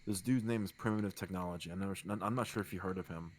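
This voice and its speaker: A man co-hosting a casual podcast, talking in a relaxed, rambling way with a soothing voice and casual swearing. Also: sits low, racing. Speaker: podcast co-host and streamer. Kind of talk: casual chat.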